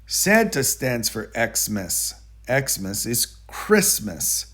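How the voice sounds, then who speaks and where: Slow, animated man reading a storybook. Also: mid-range voice. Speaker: narrator. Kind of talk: children's storybook read-aloud.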